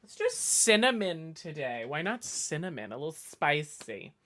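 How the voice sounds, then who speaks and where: A woman's voice: low voice, big pitch swings, moderate pace, a bit bright. A woman from the suburbs outside Boston with a light Boston accent, chatting casually with her stream chat and rambling through restarts.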